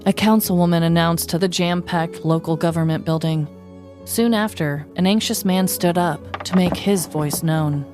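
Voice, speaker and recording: Female podcast host, slightly low voice, wry and playful scripted narration over light music. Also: steady tone, normal speed. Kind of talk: scripted comic true-crime storytelling.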